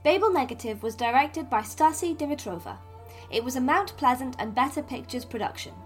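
A woman's voice: high voice, lively intonation, fairly quick, soft background music. A woman reads closing credits as an announcer. The delivery is a scripted list of roles and contributors for an audio drama.

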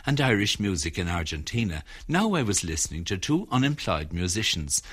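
A man narrating a scripted radio documentary, telling his story in a measured, reflective way. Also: sits low, a bit bright, breathy edge. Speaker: documentary presenter.

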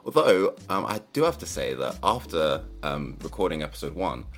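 Deep, animated co-host man. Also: moderate pace. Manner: playful, animated and self-mocking. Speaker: podcast co-host. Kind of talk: casual podcast chat.

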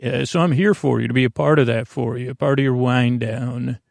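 A man with a creaky voice hosting a sleep podcast, giving a soothing, meandering, hesitant bedtime monologue that keeps drifting off on tangents. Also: medium pitch, fairly even intonation, fairly quick, a little mellow, dry recording, studio quality.

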